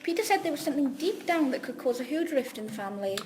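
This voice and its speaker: A high-pitched female secretary played by a woman, polite and anxious. She speaks deferentially in an amateur audio drama, with faint noise behind her. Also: very animated, quick pace. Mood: worried. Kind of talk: amateur radio drama script reading.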